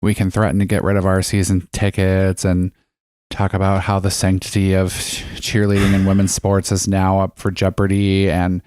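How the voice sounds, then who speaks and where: A man's voice: low-pitched, monotone, normal speed, few pauses, a little mellow, smooth, no room sound. A young man, co-hosting a casual podcast chat with dry, sarcastic humor. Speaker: podcast co-host.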